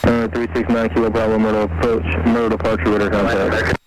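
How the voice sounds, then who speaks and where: A man's voice: on the low side, little pitch movement, a little fast, a crowd in the background. A man working as an approach controller, giving clipped air traffic instructions over an aviation radio. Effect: aviation radio transmission. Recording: very noisy aviation radio with engine drone.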